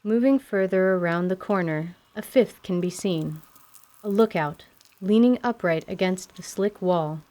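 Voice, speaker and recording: A woman narrating a scripted fantasy tale in a measured, literary radio theatre style. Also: on the low side, dark tone. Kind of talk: scripted descriptive story narration. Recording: faint background noise.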